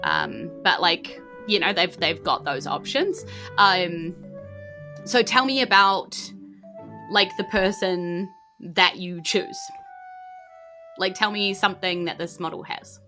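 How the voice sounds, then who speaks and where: Kiwi woman dming a tabletop game, bright voice, kinda hesitant and chatty, soft music under it. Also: lowish voice, slow. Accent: New Zealand. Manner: informal, hesitant, groping for words. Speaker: game master. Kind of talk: improvised narration of a tabletop game.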